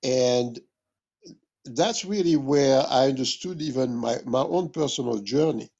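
A man from West Africa explains things in an interview in a deliberate, scholarly way, repeating phrases for emphasis as a musician and teacher would. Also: medium pitch, creaky, a little mellow, dull audio. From West Africa (Mali). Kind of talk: reflective interview talk, explanatory.

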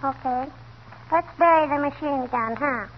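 A woman's voice: high-pitched, unhurried. A woman playing a pert, mock-innocent little girl in a 1940s radio comedy. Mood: coy, stubborn. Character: pert, bratty little neighborhood girl needling a grown man. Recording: muffled, noisy 1940s radio recording.

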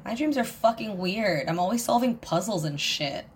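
A woman's voice: mid-range voice, lively intonation, normal speed, slight background noise. Casual swearing woman podcast co-host. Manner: rambling, swears freely. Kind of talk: casual chat.

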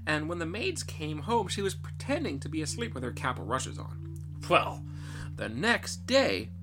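A man tells a fairy tale with playful theatricality. His pitch leaps about constantly, and prominent music runs behind the narration. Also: very animated, unhurried. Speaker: storyteller. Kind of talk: animated storybook narration.

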